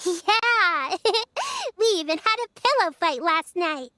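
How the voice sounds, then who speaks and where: A woman voicing a playful, chatty little girl in an animated video game style. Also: very high voice, slow pace, soft background music, heavily acted. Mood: bubbly, eager. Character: excitable little girls, childlike, playful and chatty.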